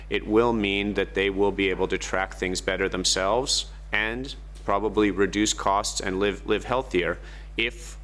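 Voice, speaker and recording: A very low-pitched man speaks as a Commission official at a seminar. He hesitates often and keeps reaching for the right phrase. A faint electrical hum sits under his voice. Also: a bit bright. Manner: hesitant, repeats "how to say". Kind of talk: seminar panel answer. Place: conference room.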